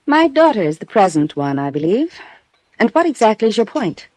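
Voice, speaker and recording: A woman's voice: mid-range voice, very animated, a little fast, darkish tone. A guarded, well-to-do wife in a classic radio mystery. Her voice is cool and formal, holding back with an aloof air. The sound is dry, without room echo. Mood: icy, defiant. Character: guarded, aloof wealthy wife under suspicion. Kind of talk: classic radio drama acting.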